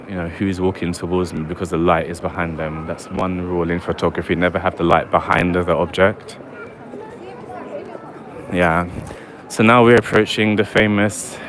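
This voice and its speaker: Chatty man streaming at night. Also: low voice. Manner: chatty, wry, talks to live chat. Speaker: livestream vlogger. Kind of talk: walking livestream commentary. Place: city streets at night, outdoors. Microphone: handheld phone or camera mic while walking. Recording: loud street noise behind. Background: traffic and street ambience.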